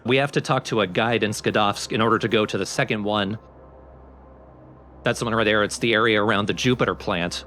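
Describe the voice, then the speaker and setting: A man's voice: medium pitch, a bit bright. A man giving casual, explanatory commentary over a video game, talking informally and step by step. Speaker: let's play commentator. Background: game music under the voice.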